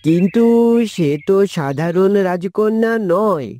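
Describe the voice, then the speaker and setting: A man's voice: sits high, unhurried, a little mellow, slight background noise. A man voicing a worried, cautious royal attendant in a fairytale cartoon, speaking deferentially. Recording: music behind the dialogue.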